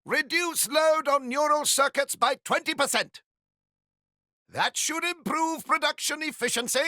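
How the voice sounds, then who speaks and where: High, bright little creature professor. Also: male voice, unhurried, no room sound, studio quality, exaggerated voice. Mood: subdued. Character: small creature professor, earnest and weary.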